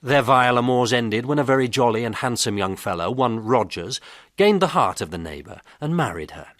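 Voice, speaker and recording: An actor playing a pompous eighteenth-century author, narrating a radio drama in ornate, moralising, theatrical style. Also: male voice, medium pitch, expressive, fairly quick, a bit bright, no room sound, studio quality, natural voice. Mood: relishing storytelling. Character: pompous 18th-century male author narrating a scandalous pamphlet.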